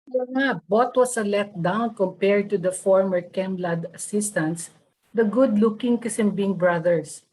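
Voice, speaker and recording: A woman's voice: medium pitch, measured pace, warm tone, natural voice, poor audio. A woman with a Filipino accent playing a gossipy alumna in an online table read. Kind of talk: table read of a reunion script over video call.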